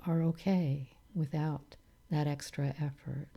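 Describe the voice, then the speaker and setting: A woman leading a guided meditation in a calm, soothing manner, leaving long pauses between phrases. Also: low-pitched, vocal fry, slightly rough. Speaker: meditation teacher.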